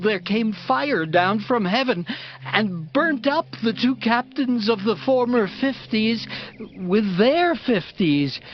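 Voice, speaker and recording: A man playing a humble army captain who slowly begs for mercy in a dramatized Bible audiobook. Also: high-pitched. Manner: slow, beseeching. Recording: slightly dull audio with music behind. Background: music.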